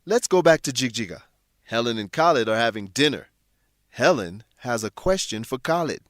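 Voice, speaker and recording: A man narrates a scripted drama for language learners as its host. His medium-pitched voice rises and falls expressively as he speaks directly to the audience. The sound is dry and studio-clean. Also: lively intonation, moderate pace, no echo. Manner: addresses listeners with guiding questions.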